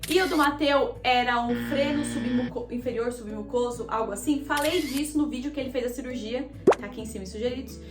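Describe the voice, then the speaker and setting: Chatty brazilian girl talking to her viewers, high voice, roomy echo. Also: a little fast, over loud music. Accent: Brazilian Portuguese. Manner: chatty, addresses viewers directly as "gente". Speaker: vlogger. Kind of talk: casual confessional storytelling to camera.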